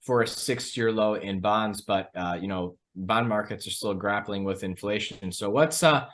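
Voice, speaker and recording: A man's voice: on the low side, normal speed, a bit bright. A man hosting a financial discussion, giving conversational market commentary and putting questions to an analyst.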